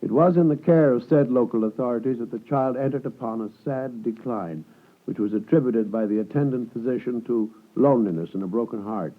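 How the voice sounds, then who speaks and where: An actor playing a deferential, fussy law clerk in a 1950s radio drama, formal, hesitant and obsequious. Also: male voice, medium pitch, brisk, close to an everyday voice. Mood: formal reading. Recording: tinny vintage 1950s radio broadcast.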